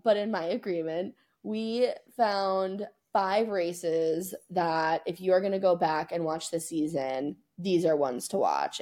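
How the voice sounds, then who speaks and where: A woman co-hosting a podcast, chatting casually about sports in an informal, slangy, excitable way. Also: on the low side. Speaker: podcast co-host.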